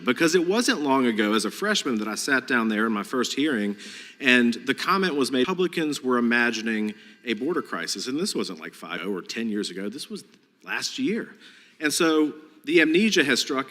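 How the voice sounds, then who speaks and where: A congressman questioning a witness in an emphatic, frustrated way, often repeating words. His voice sits at an ordinary male pitch. A desk microphone picks him up with long reverb from a large hearing room. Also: fairly bright tone.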